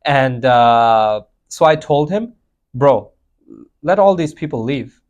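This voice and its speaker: A man's voice: medium pitch, lively intonation, slow, a little mellow. A young man hosting a podcast, telling stories in a rambling, laughing way with many fillers. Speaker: podcast host. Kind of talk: casual chat.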